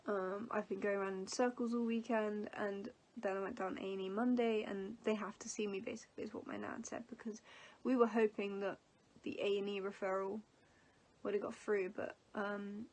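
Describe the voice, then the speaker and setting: A woman's voice: mid-range voice, steady tone, normal speed, darkish tone, rough recording. A young woman from England in her twenties, sniffly from allergies, telling a long personal story in a rambling vlog with lots of fillers. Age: 20s. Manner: rambling, many fillers and restarts. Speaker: vlogger.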